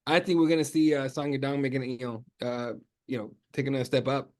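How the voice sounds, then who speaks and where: A man speaking English as a second language, talking sports in an animated way, with lots of fillers and restarts as he thinks aloud. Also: mid-range voice, a little fast. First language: not English. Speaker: MMA journalist guest. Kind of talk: casual sports podcast chat.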